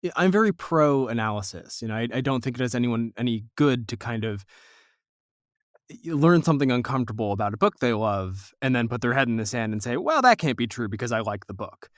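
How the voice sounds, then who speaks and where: A man's voice: medium pitch, animated, a little fast, no echo, studio quality. A man hosting a conversational podcast interview, asking reflective, discursive questions.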